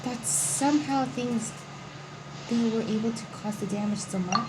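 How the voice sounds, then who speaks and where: Higher-pitched woman reacting over gameplay. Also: normal speed, background noise. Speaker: video game streamer. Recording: game music behind the voice.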